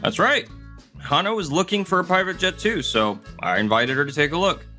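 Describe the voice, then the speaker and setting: A man's voice: on the high side, animated, brisk, sharp tone. A man playing a polite, composed junior jet builder in a manga dub, courteous and earnest, with music behind him. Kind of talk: dramatic manga dub voice acting. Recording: music bed under the voice.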